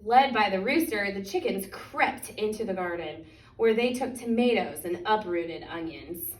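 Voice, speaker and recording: A woman reads a picture book aloud for children in an expressive, deliberate way, stretching out key words. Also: mid-range voice. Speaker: children's story reader. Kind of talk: animated picture-book storytime reading. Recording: echoey room, distant microphone.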